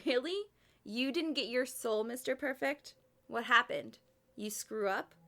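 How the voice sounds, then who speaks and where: A woman's voice: on the high side, measured pace, pushed and bright. An actress playing a combative, defensive female grim reaper in an audio drama, with a sarcastic, whiny manner. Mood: taunting.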